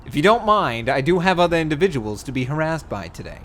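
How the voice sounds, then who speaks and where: A man's voice: fairly high pitch, sing-song, fast, fairly bright tone. A man voicing a glib, sarcastic young bootlegger cat in a comic dub, in a theatrical manner. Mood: sardonic. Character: cheeky, chatty young male bootlegger cat. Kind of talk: comic dub character acting.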